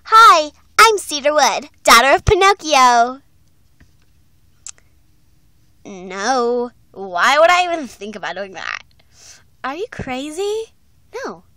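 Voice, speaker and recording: A perky teenage doll girl, voiced by a woman in amateur cartoon style. The voice is extremely high and bright, with lively swings of pitch. The delivery is girlish and emphatic. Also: slow pace, dry recording, studio quality, exaggerated voice. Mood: indignant. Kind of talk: amateur cartoon character voice audition.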